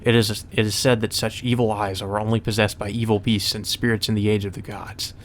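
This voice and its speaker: A man's voice: fairly low pitch, level tone, a little fast, slight background noise. A man reading visual novel narration aloud as a let's play, mixed with casual, sweary commentary.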